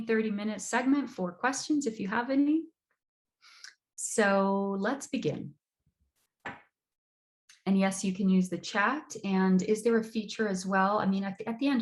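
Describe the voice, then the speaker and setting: A woman from Brazil giving an online astrology lecture, measured and emphatic, repeating words for stress. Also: medium pitch.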